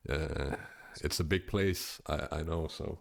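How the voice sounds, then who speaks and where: A man in his early thirties hosting an interview, relaxed and laid-back, often ending phrases with "man". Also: a bass voice, slow pace, vocal fry, no echo, clean studio sound. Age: 30s. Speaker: podcast host. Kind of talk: casual interview chat.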